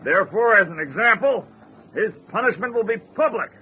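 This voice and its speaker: An actor playing a stern, cruel ship's captain, giving clipped, threatening commands in an old-time radio horror drama. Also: male voice, high voice, animated, brisk. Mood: cold authority. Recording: dull, faintly noisy radio recording.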